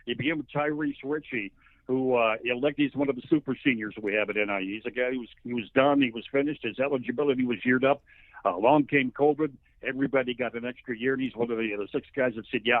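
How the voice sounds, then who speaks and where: A man's voice: medium pitch, dry recording. American man on a phone-like line. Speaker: sports play-by-play announcer, guest. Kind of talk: conversational sports commentary interview.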